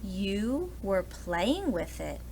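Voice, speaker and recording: A woman voicing a gentle, concerned mother in a children's storybook. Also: medium pitch, sing-song, extremely slow, creaky, background noise, full character voice.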